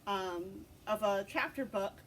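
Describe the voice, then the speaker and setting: A middle-aged woman giving a conversational book talk as a librarian, in an encouraging, gently prompting manner. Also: medium pitch, unhurried. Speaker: librarian and co-host recommending books. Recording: distant mic with loud background noise.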